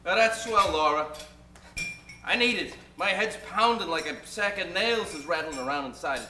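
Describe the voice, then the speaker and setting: Guy doing a hard-luck radio comedy sad sack, hammy, high voice going up and down, roomy. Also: animated, normal speed, over music. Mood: weary, grumbling. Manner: hammy, period slang. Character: grumbling, down-on-his-luck man trying to buck up. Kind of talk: old-time radio comedy acting.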